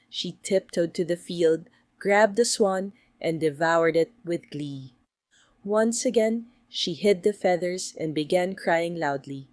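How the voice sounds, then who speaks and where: A woman's voice: fairly low pitch, a little mellow, no echo. A woman reading a scripted bedtime story for children as its narrator.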